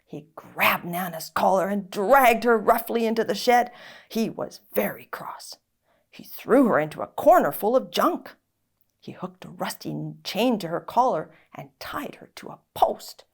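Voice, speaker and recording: Expressive woman storyteller with lively pitch. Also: measured pace, creaky. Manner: dramatic, expressive. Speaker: story reader. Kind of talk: expressive children's story narration.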